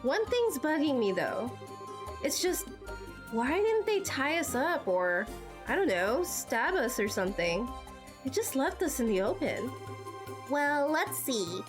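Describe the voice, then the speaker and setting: A woman's voice: high voice, sing-song, a little slow. A woman streamer doing amateur voice acting of dialogue from video game cutscenes. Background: music.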